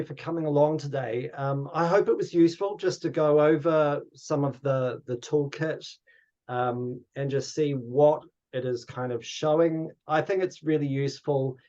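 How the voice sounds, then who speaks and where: A man's voice: mid-range voice, dark tone, muffled recording. A man with a New Zealand accent hosting an online workshop, informal and courteous, with some hesitancy. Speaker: workshop host. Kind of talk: informal facilitation and closing remarks. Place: online video call.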